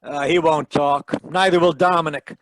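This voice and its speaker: Guy doing wry old-radio private eye, glib wisecracks, high voice, really dry close sound. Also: fairly even intonation, normal speed, no room sound, close to an everyday voice. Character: wisecracking hard-boiled private detective man, dry and brisk. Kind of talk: 1940s radio detective acting.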